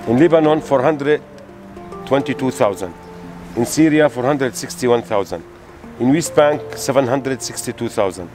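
A middle-aged man from Palestine, guiding visitors outdoors in halting English. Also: medium pitch, a little mellow, faint music behind. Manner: halting non-native English, reading out figures. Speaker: tour guide. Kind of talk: explaining to visitors. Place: outdoors by a street wall.

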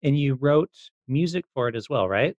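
Man chatting with very lively intonation. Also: medium pitch, moderate pace, lo-fi sound. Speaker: podcast host, interviewer. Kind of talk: casual chat.

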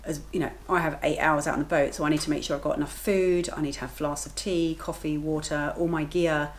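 A woman's voice: low-pitched, fairly even intonation, brisk, a little hiss or hum. A woman in her thirties talking casually to the camera in her home kitchen, matter-of-fact and chatty. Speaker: vlogger, lifeguard and working mother.

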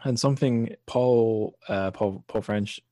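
A man with a low voice hosts a literary discussion, recorded close and dry. He speaks casually, feeling his way through long, discursive thoughts. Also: takes it slowly, mellow, no echo. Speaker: podcast host.

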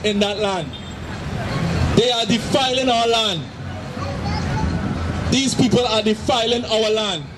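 A middle-aged man with a Trinidadian accent, preaching forcefully outdoors into a handheld mic and repeating his points. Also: high voice, slow pace, pauses often. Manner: forceful, repetitive, shouting at times. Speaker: street preacher. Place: city street outdoors, crowd around. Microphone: handheld mic through a loudspeaker. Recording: noisy street with crowd.

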